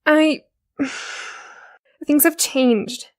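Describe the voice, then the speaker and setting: A woman's voice: high-pitched, fairly even intonation, drawn-out words. A woman playing a tough, guarded young fighter in an audio drama, curt and defensive. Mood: guarded confession.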